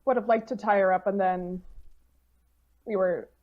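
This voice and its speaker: A woman's voice: mid-range voice, fairly even intonation, normal speed. A woman playing a tabletop roleplaying game, chatting casually about what her character does. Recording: muffled lo-fi microphone, faint noise.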